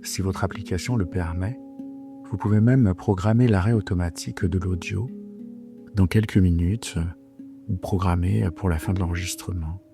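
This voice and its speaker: A man leading a guided sleep meditation in a soothing, unhurried, hesitant way with long pauses. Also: low-pitched, steady tone. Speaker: yoga nidra guide. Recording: soft guitar music behind the voice. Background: gentle plucked guitar music.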